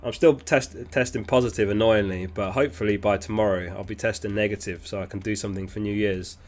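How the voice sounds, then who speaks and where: A man hosting a casual livestream, reading viewer messages aloud in a relaxed, chatty way. He is sniffly and keeps clearing his throat. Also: fairly low pitch, quick pace. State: sniffly, getting over an illness. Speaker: fantasy football livestream host.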